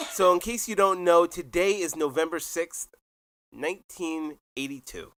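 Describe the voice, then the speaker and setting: Bright-voiced man chatting on a podcast. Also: a little high, unhurried, no room sound. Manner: relaxed, laughs often, rambling with fillers. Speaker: podcast co-host. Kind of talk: casual chat.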